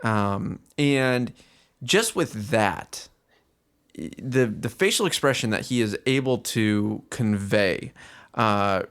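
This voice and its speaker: A man's voice: fairly low pitch, expressive, slow, fairly bright tone, clean tone. A man co-hosting a podcast in casual chat, talking in a jokey, colloquial way. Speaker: podcast co-host. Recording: music behind the voice.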